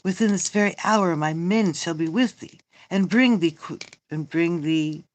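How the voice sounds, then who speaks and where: A woman reading the part of a courteous, earnest young lover in an amateur Shakespeare play, in a halting, declamatory way. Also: lowish voice, lightly acted. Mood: earnest, instructing. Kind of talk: amateur Shakespeare read-through.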